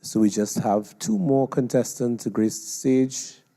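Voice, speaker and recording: Male competition MC, Trinidadian accent. Dark, relaxed tone, slow formal announcing. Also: medium pitch, gravelly. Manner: measured, formal. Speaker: competition master of ceremonies. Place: stage at a live competition.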